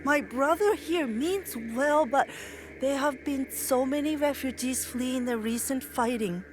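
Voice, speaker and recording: A woman's voice: sits high, unhurried, rough recording. A woman voicing a pious, reproachful temple nun in a video game, grave and moralizing. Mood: sorrowful. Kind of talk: video game cutscene acting. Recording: light ambient noise behind.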